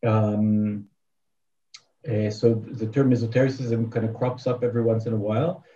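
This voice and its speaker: A man's voice: on the low side, little pitch movement, moderate pace, mellow, distant mic, highs cut off. A man with a Hebrew accent giving a conference lecture from a prepared paper over a video call, hesitant, with many fillers and dry asides. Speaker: academic lecturer.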